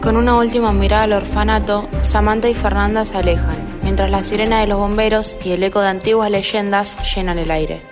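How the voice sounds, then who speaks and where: A female amateur acts as a suspenseful storyteller in a school radio ghost drama. She reads scripted narration beneath very loud music and effects. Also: medium pitch, fairly even intonation, a little fast, hardly any pauses, natural voice.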